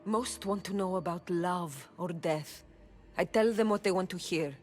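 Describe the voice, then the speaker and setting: Guarded, low-voiced Greek oracle played by an actress. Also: female voice, unhurried, darkish tone, soft background music, close to an everyday voice. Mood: grave. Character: guarded, troubled ancient Greek oracle woman. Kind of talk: dramatic video game acting.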